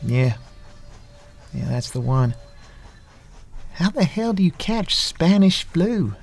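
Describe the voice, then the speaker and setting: An actor playing a tough, plain-spoken young farm runaway in an audio drama, with a folksy way of talking. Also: male voice, on the high side, big pitch swings, slow pace, creaky, darkish tone, exaggerated voice. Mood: grieving, weary.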